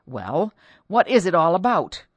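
A woman voicing a stubborn, resentful husband who speaks loudly and bluntly in exasperation. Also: on the low side, big pitch swings, measured pace, slightly rough, no room sound, full character voice. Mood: exasperated.

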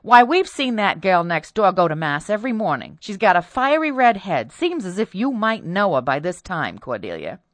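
A woman narrator voicing an indignant, bossy mistress of a household in a storybook, with a sharp, demanding manner. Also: medium pitch, big pitch swings, moderate pace, hardly any pauses, dry recording, natural voice. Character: indignant, peremptory mistress of a household, middle-class country wife.

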